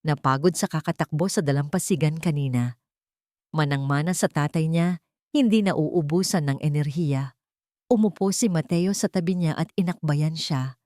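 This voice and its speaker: A woman narrates a romance story from a script. Her voice sits a little low for a woman, and the recording is dry and close, with very little room sound. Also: warm tone, no room sound.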